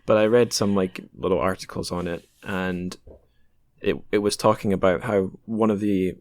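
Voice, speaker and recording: A man's voice: low voice, steady tone, moderate pace, a little mellow, dry recording, clean studio sound. A man hosting a podcast in a casual, informal way, tentatively checking what he has understood. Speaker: podcast host. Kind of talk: casual chat.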